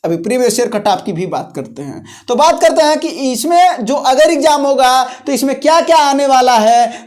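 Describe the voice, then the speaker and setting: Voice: sits high, darkish tone. A presenter hosting an informal explainer on exam and job details, speaking in an instructional way, repeating key points and often checking in with listeners. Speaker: host of a job-notification video channel.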